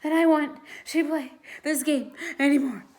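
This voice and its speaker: A woman's voice: sits high, a little slow, pitched above the performer's own voice. A woman reading a storybook as a sceptical young boy, reluctant and hard to impress. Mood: anxious. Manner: flat, unimpressed, reluctant. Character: bored, sceptical young boy, easily daunted.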